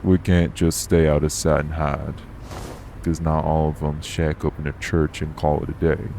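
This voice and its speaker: Man acting a very deep, gravelly, wary vampire. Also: flat delivery, moderate pace, mellow, slight background noise. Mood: calm, wary. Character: steady, guarded male vampire traveler, streetwise, wary. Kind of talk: audio roleplay acting.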